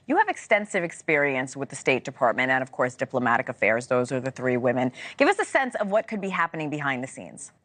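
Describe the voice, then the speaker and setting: A woman's voice: very deep voice, very animated, quick pace, hardly any pauses, fairly bright tone, no echo. A woman anchoring live broadcast news and putting questions to a guest.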